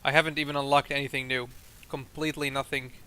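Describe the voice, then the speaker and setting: A man's voice: mid-range voice, level tone, fast talker, pushed and bright, a little hiss or hum. A young man chatting casually and teasing his friend while explaining their factory in a game. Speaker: co-host playing a factory game. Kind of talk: casual chat over gameplay.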